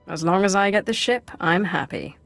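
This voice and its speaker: An actress playing a commanding, curt female dark sorcerer lord in a video game. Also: lowish voice, lively intonation, moderate pace, creaky, soft background music, exaggerated voice. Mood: cool, commanding. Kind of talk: game voice acting.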